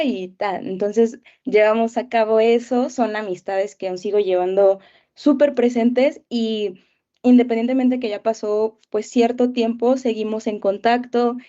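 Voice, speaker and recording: A young woman with a Mexican accent answers questions about her life in an easy, rambling way, filling gaps with small hesitations. Her voice sits mellow and dark. The recording sounds dull and muffled, like a video call. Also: a little high. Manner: warm storytelling with many fillers like eh and este. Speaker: teacher. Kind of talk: casual interview answers.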